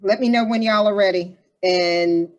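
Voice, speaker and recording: A woman's voice: mid-range voice, moderate pace, a little mellow, lo-fi sound. A woman giving casual, practical instructions to a cast as their director. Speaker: rehearsal or recording director.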